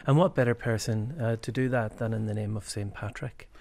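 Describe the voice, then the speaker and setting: A man from Derry with a Northern Irish accent, an archbishop speaking thoughtfully with frequent pauses and fillers. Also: lowish voice, fairly even intonation, a little mellow, a little hiss or hum. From Derry, Northern Ireland. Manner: thoughtful, hesitant with many fillers. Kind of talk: conversational joint address.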